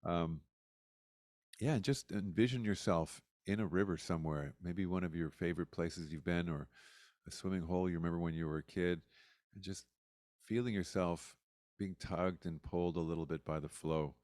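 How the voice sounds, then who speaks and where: A man with a low, smooth voice casually guiding a group singing session in an easygoing, encouraging way. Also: moderate pace, a little mellow, no room sound, clean studio sound. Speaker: singing circle leader.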